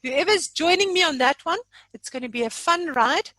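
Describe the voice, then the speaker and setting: A woman's voice: very high voice, expressive, normal speed, sharp tone, no echo. A woman hosting an informal online training session in a jokey, chatty, self-deprecating way. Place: remote online meeting from own room.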